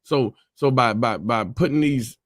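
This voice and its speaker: A man in casual talk who turns animated and laughs between his points. His voice sits in a middle register. It is recorded dry, with no room echo. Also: medium pitch, unhurried. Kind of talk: casual conversation and reading aloud.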